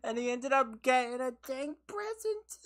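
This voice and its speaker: A woman's voice: high voice, fairly bright tone. Tired, laughing woman streaming a game. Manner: laughs often, playful teasing of chat. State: tired and losing her voice. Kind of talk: casual live gameplay commentary with chat. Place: her own room at home.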